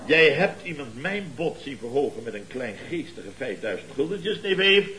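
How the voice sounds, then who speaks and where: Pompous, bright-voiced Dutch boss. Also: male voice, mid-range voice, very animated, moderate pace, poor audio. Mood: smug, boastful. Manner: pompous, theatrical, lecturing. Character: blustering, boastful contractor boss and uncle, scheming wheeler-dealer. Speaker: actor in radio comedy serial. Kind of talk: 1970s Dutch radio comedy acting.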